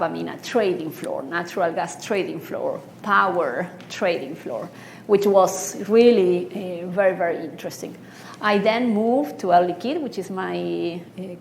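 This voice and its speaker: A woman's voice: on the low side, vocal fry, a little mellow, a little hiss or hum. A middle-aged woman from Argentina, a senior treasurer, speaking earnestly about her career on a conference panel, with restarts. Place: conference stage.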